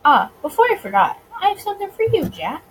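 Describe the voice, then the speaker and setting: A woman voicing a suave, playful male mobster in a visual novel, smooth, composed and teasing. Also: very high voice, big pitch swings, fast, slight background noise, pitched above the performer's own voice, poor audio. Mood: charming. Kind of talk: visual novel character reading.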